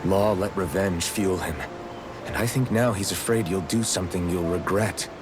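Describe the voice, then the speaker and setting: An actor playing a gentle, idealistic young swordsman in a video game, speaking with measured, sincere reassurance. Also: deep voice, noisy background, lightly acted. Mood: gentle, reassuring. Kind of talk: video game cutscene dubbing.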